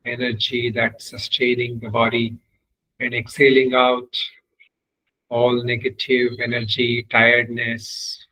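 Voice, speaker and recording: A man leading a guided meditation as a teacher, giving measured, unhurried instructions with long pauses. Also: medium pitch, bright, forceful tone, highs cut off.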